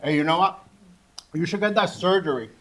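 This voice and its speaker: A man's voice: fairly high pitch, a bit bright, lightly acted. A man playing a short, creepy, lecherous bully who gropes for words, in a screenplay table read. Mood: muddled, teasing. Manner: halting, searching for words, leering.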